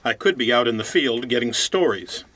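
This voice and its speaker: An actor playing an impatient, self-important radio news reporter in an audio drama, speaking in a precise, pedantic way. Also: male voice, medium pitch, animated, moderate pace, fairly bright tone. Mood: impatient, defensive. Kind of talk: audio theater acting.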